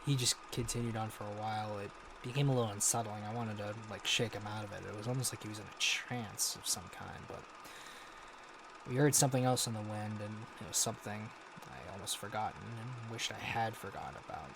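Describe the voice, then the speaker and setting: An actor playing a haunted American war veteran, recounting grim horrors haltingly in an audio drama log. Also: male voice, lowish voice, creaky, background noise. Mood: unsettled, grave. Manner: halting, sighing, hesitant delivery.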